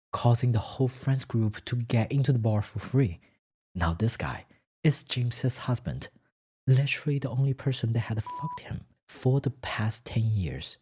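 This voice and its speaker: A man's voice: medium pitch, darkish tone, breathy edge. A man reading scripted fiction as a story narrator.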